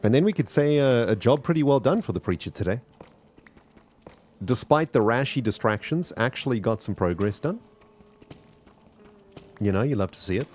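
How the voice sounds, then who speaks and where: A man's voice: mid-range voice, lively intonation, moderate pace, long pauses, a little mellow. A man with a South African accent chatting casually to his viewers while streaming a game, rambling and addressing chat directly. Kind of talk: casual gameplay commentary.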